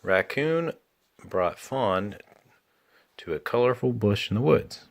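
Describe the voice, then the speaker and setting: A man reading a children's story aloud in an animated storytelling style. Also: on the low side, slow, vocal fry. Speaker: children's book reader.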